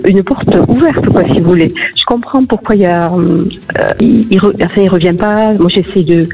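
A woman in her fifties calling in to a radio show, speaking haltingly with many fillers. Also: on the low side, vocal fry, music in the background. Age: 50s. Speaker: caller. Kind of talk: phone-in conversation. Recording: muffled distant phone line.

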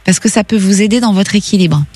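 A woman's voice: mid-range voice, quick pace, darkish tone, slightly rough. Reassuring woman hosting a phone-in, music behind. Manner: reassuring, encouraging. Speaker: radio phone-in host giving psychic readings. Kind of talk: live advice to callers, conversational.

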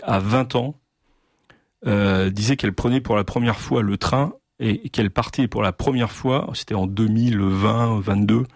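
A middle-aged man from Normandy speaking as a guest in a discussion, halting with many pauses and restarts. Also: on the low side, creaky, a little mellow, no echo, studio quality. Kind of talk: hesitant conversational discussion.

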